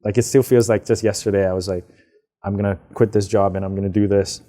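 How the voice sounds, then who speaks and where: A young man from Hong Kong, answering interview questions in a relaxed, rambling way with frequent fillers. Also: on the low side, fast. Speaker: guest, business founder. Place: outdoors, karaoke nearby.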